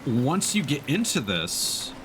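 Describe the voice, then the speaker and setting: A man's voice: mid-range voice, big pitch swings, slow, rough recording. A man giving casual live commentary on a game, thinking aloud as he plays. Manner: thinks aloud while playing. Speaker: game streamer. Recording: faint game noise behind voice. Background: game ambience.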